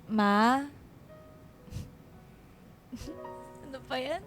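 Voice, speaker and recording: An actress playing an affectionate, cheeky younger sister who is still a student, in a girlish, expressive radio drama manner. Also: sits high, extremely slow, soft background music, full character voice. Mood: tearful longing.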